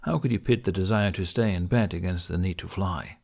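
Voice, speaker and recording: A man's voice: low-pitched, a little fast, gravelly, darkish tone. A man reading scripted fiction as an audiobook narrator.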